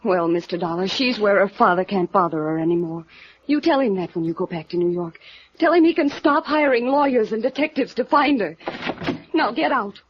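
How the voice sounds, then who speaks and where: A woman's voice: medium pitch, animated, fairly quick, slight background noise, close to an everyday voice. Actress as bitter woman, muffled old radio. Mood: bitter, angry. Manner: pointed, reproachful. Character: bitter, protective woman guarding a dead girl's secret. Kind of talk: 1950s radio drama acting.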